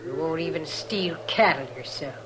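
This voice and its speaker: Casting: woman to play tough, embittered cattle-rustling frontier woman, curt delivery, 1950s radio drama with clear background noise. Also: deep voice, normal speed, lightly acted. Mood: bitter, defiant. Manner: curt, defiant, cold. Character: hard, bitter frontier mother and cattle rustler. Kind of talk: 1950s radio western acting.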